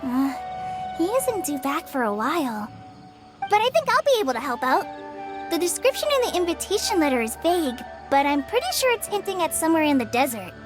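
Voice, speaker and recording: Earnest, high-voiced young ranger girl. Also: big pitch swings, a little fast, over music. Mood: helpful. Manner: hesitant, polite. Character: earnest, shy teenage girl forest ranger, modest and helpful. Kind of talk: video game cutscene acting.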